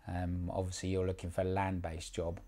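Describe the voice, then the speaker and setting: A man mentoring someone one-on-one in a casual, relaxed way, repeating words and using many fillers. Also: low-pitched, a little fast. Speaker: job placement mentor.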